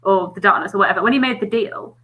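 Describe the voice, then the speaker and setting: A woman's voice: mid-range voice, quick pace, sharp tone, slight background noise. A woman in a casual book-club chat, thinking aloud with easy "like" fillers.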